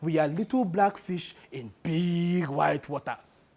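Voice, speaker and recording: A man playing a brash, wheedling, money-minded talent agent with a Nigerian accent in a dramatic monologue, animated and full of exclamations. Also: a little high, slow. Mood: boastful, jovial. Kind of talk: one-person dramatic monologue.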